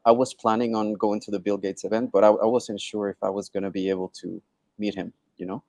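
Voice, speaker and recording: Gravelly low-voiced man chatting informally. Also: flat delivery, a little fast, dark tone, no echo. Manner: informal, often checking with "right?" and "you know?". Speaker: interview guest, tech entrepreneur. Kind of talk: casual video-call interview, telling an anecdote. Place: online video call.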